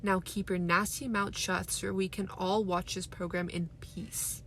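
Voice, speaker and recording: A woman's voice: mid-range voice, little pitch movement, a little slow, fairly bright tone, slight background noise. A woman voicing a nasty, scolding, dismissive mother in a storybook reading. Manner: snappish, dismissive. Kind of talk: storybook read aloud.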